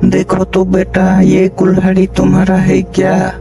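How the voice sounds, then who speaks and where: A man voicing a kindly, motherly water goddess in a storybook tale, with gentle questioning and music behind. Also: high-pitched, monotone, a little slow, pitched above the performer's own voice.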